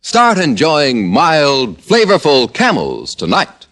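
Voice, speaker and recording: A man's voice: on the high side, huge pitch swings, slow pace, a bit bright. A man announcing commercials and credits on a 1950s radio show, with an emphatic selling delivery.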